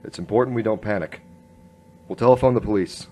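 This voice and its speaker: An actor playing an earnest, halting noir police inspector who narrates his case in a radio drama. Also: lowish voice, warm tone, soft background music, natural voice. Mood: firm. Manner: hard-boiled first-person storytelling, halting.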